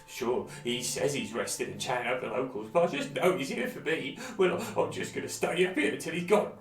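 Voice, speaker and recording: A man's voice: medium pitch, fairly quick, lightly acted. An actor playing an agitated, paranoid townsman in a video game, fretting in a rambling, folksy way. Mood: paranoid, fretful. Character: paranoid, agitated townsman hiding upstairs from a paladin. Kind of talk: video game character acting. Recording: roomy, distant, faint music.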